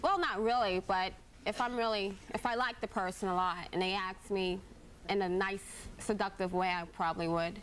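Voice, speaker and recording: Soft-spoken young woman on a talk show. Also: mid-range voice, fairly even intonation, normal speed, a little hiss or hum, low-quality recording. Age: 20s. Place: TV studio stage.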